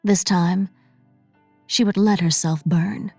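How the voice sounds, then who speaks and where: A woman narrating scripted fiction with a deliberate, dramatic reading. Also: fairly low pitch, steady tone, warm tone, slightly rough, soft background music. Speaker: audiobook narrator. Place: dry studio.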